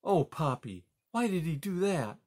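A man's voice: fairly high pitch, big pitch swings, measured pace, a little mellow, breathy edge, pitched above the performer's own voice, exaggerated voice. A man voicing a fussy female mouse, a disapproving aunt in a storybook, with a prim, critical manner. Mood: puzzled, disapproving.

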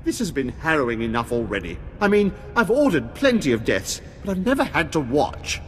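An actor playing a pompous, cowardly, whining Imperial official in a video game, haughty and fussy. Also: male voice, fairly high pitch, sing-song, a little fast, a bit bright, a little hiss or hum. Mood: nervous, fussy. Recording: long hall reverb, music behind.

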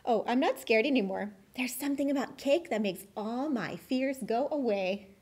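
Woman voicing a timid, high-pitched little bear cub. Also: expressive, normal speed, darkish tone, breathy edge. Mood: eager. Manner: hesitant, childlike phrasing. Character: timid young bear cub puppet who loves cake. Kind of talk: children's puppet show.

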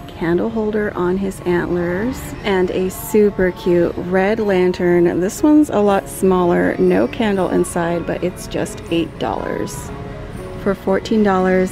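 A woman vlogger walking a store aisle with her phone, calmly listing decor items and their prices. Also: fairly low pitch, vocal fry. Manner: calm, listing prices item by item. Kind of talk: shop-with-me narration. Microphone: handheld phone camera.

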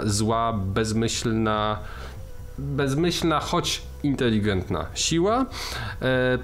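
A man co-hosting a film podcast, talking through his ideas with many restarts and fillers. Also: mid-range voice. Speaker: podcast co-host. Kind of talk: casual film discussion.

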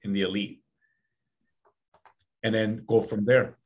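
A man's voice: on the low side, little pitch movement, takes it slowly, slight background noise. A man in his forties hosting a casual podcast chat, earnest and repeating himself for emphasis. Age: 40s. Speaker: podcast host. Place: small office room, video call.

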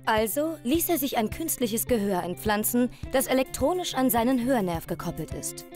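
A woman's voice: a little high, expressive, normal speed, darkish tone, faint music behind. A woman reading a scripted documentary voice-over in pronunciation. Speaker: documentary narrator.

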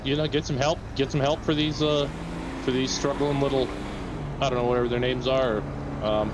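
A man's voice: mid-range voice, flat delivery, fairly quick, natural voice. A man playing a friendly, indulgent sheriff's deputy in an online game roleplay, chatting hesitantly and repeating his phrases. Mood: amused. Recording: clear street and vehicle noise.

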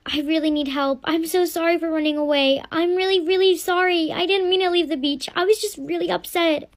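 A woman's voice: very high voice, steady tone, a little fast. A woman playing a sulky pregnant teenage girl. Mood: panicked. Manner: snappy and sulky, then panicky. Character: moody pregnant teenage girl, quick-tempered.

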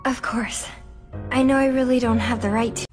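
A woman playing a gentle, timid, sorrowful teenage girl in a game cutscene, soft and hesitant. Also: fairly high pitch, steady tone, normal speed, over music, lightly acted. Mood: sad, guilty. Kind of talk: animated game cutscene acting.

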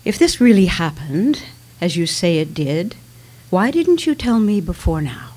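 Woman acting a husky, blunt, vain mother. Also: fairly low pitch, sing-song, unhurried, a little mellow. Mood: indignant. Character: vain, blunt, ailing mother of a grown daughter. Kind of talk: dramatic reading of a novel on stage. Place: small dimly lit stage venue. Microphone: microphone on a stand.

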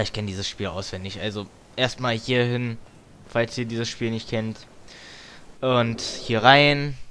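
A man's voice: medium pitch, a bit bright. A man giving casual, grumbling let's play commentary as he games, with some tongue clicking. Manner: grumbling, mild swearing. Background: video game music.

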